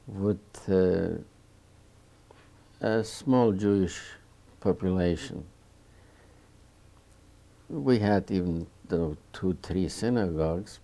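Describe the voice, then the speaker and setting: Slow, gravelly older man, halting. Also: low-pitched, mellow. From Bukovina, Romania. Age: 70s. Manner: halting, searching for words with many restarts. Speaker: Holocaust survivor interviewee. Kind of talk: oral history interview.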